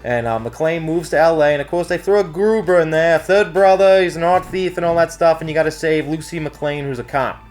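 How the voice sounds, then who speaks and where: A man with a slightly high voice talks in an informal, rambling style, like a reviewer chatting off the cuff. He leans on casual filler phrases, and music plays softly behind him. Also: brisk, few pauses. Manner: rambling, informal, frequent "like, you know" asides. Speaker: video game reviewer and co-host.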